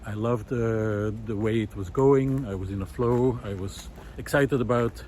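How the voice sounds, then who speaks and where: A man delivers a reflective, unscripted vlog monologue in a mid-pitched voice. His phrasing is earnest and halting, with frequent hesitations as he thinks aloud. He is recorded outdoors in a park, with noticeable ambient and vehicle noise behind him. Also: darkish tone. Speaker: vlogger, former visual artist.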